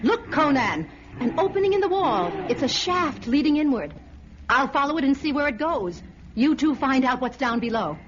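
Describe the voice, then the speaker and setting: An actress playing a bold, commanding female warrior in a fantasy radio drama, brisk and decisive. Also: sits high, very animated, noisy background. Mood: commanding, urgent. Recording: dull radio recording, music behind.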